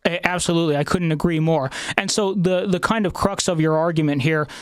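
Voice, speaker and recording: Halting male podcast host. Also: on the high side, steady tone, smooth, no room sound, studio quality. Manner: hesitant, many fillers and restarts. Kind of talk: podcast interview.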